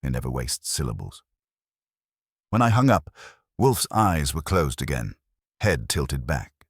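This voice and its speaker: A man's voice: extremely low pitch, very animated, a little slow, breathy edge, no echo, clean studio sound. A man reading a first-person detective story as an audiobook narrator.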